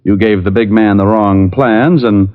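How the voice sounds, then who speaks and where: An actor playing a tough, wry private detective with an American accent and a dry wisecracking manner, in an old radio drama. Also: male voice, on the low side, steady tone, measured pace, close to an everyday voice. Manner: wisecracking, dry, plain-spoken. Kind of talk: 1940s-50s radio drama acting. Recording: dull, lo-fi old radio recording.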